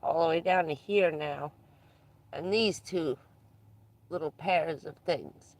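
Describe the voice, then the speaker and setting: A livestreamer chatting casually with viewers, stuffed up and losing their voice, who keeps clearing their throat. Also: medium pitch. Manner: frequent throat clearing, unhurried, rambling. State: congested and losing voice. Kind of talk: casual live stream chat with viewers.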